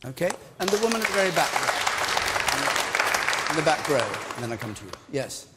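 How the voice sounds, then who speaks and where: A man moderating a televised debate, brisk and directing speakers in turn. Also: on the high side, very animated, over a noisy crowd, heavy background noise. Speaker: debate show host. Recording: studio hall with audience.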